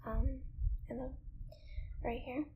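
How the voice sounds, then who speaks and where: Whispering ASMR woman with creaky edges. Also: high voice, flat delivery, takes it slowly. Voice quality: whispery, breathy soft voice. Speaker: ASMR video creator. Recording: clearly audible background noise.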